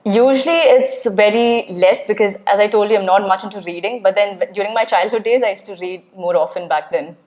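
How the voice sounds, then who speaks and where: A woman's voice: medium pitch, brisk, hardly any pauses, darkish tone. A young woman from Kerala, India, answering speaking-test questions chattily with many fillers. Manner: chatty, with frequent uh and yeah fillers. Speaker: test candidate. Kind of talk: spoken exam answers.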